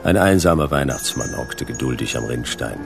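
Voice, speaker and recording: A man playing a laconic, sceptical hard-boiled detective in a radio play, speaking in terse, deadpan fragments. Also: rumbling low, normal speed, gravelly, over music, full character voice. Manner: terse, deadpan, clipped sentences. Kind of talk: noir radio play narration and dialogue.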